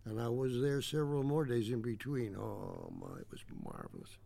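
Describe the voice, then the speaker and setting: An elderly, old-fashioned man in a satirical audio drama. His voice is gravelly and lively in pitch. He rambles along in a folksy, courteous, slightly hesitant manner. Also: normal speed, full character voice. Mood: nostalgic. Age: older.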